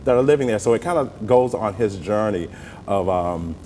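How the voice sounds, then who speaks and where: A man with a Southern US accent talking conversationally as an interview guest, telling stories in an animated, folksy way. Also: normal speed. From southern United States. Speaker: interview guest, playwright and stage actor. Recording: roomy long reverb with rumbling noise.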